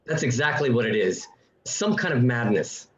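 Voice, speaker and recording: A man's voice: medium pitch, lively intonation, moderate pace, dull audio, close to an everyday voice. A man playing an exasperated, sarcastic neighbor arguing for reason in a radio drama, in a roomy home recording. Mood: indignant. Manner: sarcastic, indignant. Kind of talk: radio play acting.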